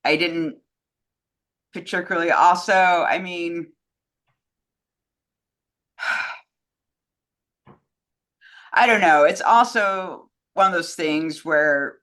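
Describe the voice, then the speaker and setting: A woman's voice: fairly low pitch, drawn-out words, pauses often, a bit bright. A woman co-hosting a casual film discussion, speaking in a frank, conversational way. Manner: frank, opinionated. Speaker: co-host reading fan questions.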